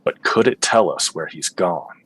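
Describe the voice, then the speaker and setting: Man acting a deep-voiced, boozy noir detective. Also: normal speed, breathy edge, no room sound, full character voice, low-quality recording. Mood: clueless, earnest. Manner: hard-boiled, melodramatic, deadpan. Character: boozy, bitter, clueless male android-hunting detective. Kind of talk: noir radio play, narration and dialogue.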